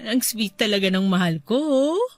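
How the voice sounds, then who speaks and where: A woman playing a gentle, caring middle-aged wife and mother in a radio drama, speaking affectionately and soothingly. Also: on the high side, lively intonation, unhurried, no room sound. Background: soft music bed.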